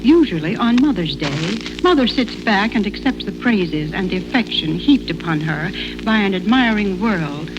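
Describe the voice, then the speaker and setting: A woman's voice: mid-range voice, lively intonation, normal speed, few pauses, lo-fi sound, music in the background. An older American woman speaking formally and oratorically as a guest on a scripted 1940s radio broadcast.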